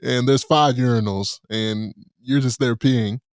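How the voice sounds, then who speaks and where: A young man hosting a casual sports podcast, joking and animated with his co-hosts. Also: medium pitch, a little slow, smooth, no room sound, studio quality. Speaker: podcast host.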